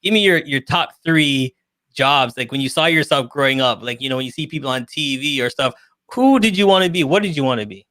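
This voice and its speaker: A man's voice: mid-range voice, expressive, brisk, pushed and bright, no echo. A man hosting an interview show, hyping it up with an emphatic, loose, casual way of talking. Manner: hype-style, emphatic, loose grammar. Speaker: show host. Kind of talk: enthusiastic hosting, casual chat.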